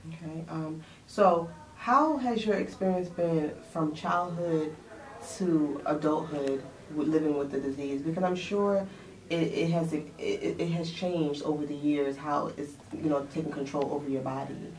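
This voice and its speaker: A woman's voice: deep voice, a little slow, a little mellow. A woman hosting a casual interview show, chatty and frank, asking questions. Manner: chatty, frank, laughs easily. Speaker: host. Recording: roomy sound, distant mic.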